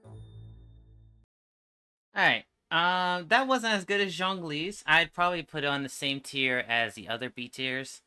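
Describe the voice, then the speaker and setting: A man's voice: on the high side, unhurried, long pauses, bright, forceful tone, clear, resonant tone, soft background music. A man giving casual, opinionated reaction commentary as a streamer, with slangy, sweary speech. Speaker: streamer reacting to game trailers.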